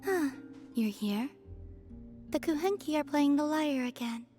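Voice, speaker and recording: A woman voicing a serene, polite young moon maiden in a video game, with a soft, airy voice and a gentle, measured, formal way of speaking. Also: high-pitched, expressive. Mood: musing. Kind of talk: scripted video game voice acting. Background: faint music.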